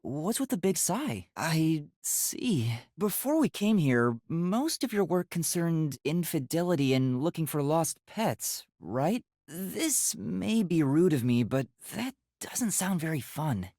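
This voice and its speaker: A young male trainee detective from a video game, earnest and unsure of himself. His voice is light for a boy, husky and breathy, and swings widely in pitch as he hesitates or gets flustered. The recording is dry and close. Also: big pitch swings, slow, few pauses, mellow, no echo, full character voice. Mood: candid, teasing. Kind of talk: English video game voice acting.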